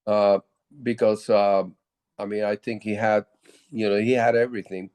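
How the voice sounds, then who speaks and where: An older man from Puerto Rico with a low voice, telling stories as a musician guest in a casual interview, with many halting restarts. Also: flat delivery, a little mellow, clean tone, no echo.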